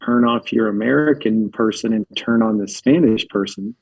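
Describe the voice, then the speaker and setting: Guest from Philadelphia. Man with a husky, dry voice who rambles through casual stories. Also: on the low side, normal speed, a little mellow, no echo, rough recording. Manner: rambling, filler-heavy, uses lots of likes. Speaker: podcast guest. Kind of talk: casual storytelling chat.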